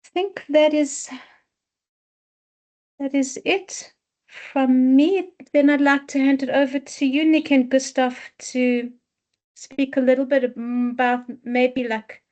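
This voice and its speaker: Slow-spoken woman leading an online info session. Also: sits high, fairly even intonation, darkish tone. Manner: slow, measured, with many restarts and fillers. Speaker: head of program. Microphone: video call.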